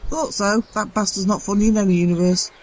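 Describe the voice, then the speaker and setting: A woman's voice: medium pitch, fairly even intonation, fast talker, a little mellow, a little hiss or hum, lightly acted. A woman playing a sceptical, complaining sidekick in a scripted audio comedy, dry in delivery. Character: deadpan, sceptical female sidekick adventurer, Polly. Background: music.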